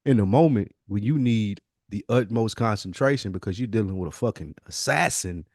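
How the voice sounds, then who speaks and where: Male podcast co-host, medium pitch, slangy and profane, casual commentary, dry recording. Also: expressive, normal speed, a little mellow, dry recording. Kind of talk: casual chat, music commentary.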